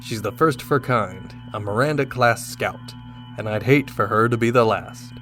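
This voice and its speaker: A man's voice: fairly low pitch, moderate pace, a bit bright, soft background music, close to an everyday voice. A man playing a dashing, self-assured starship captain in a radio drama, speaking with confident command. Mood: calm, wary.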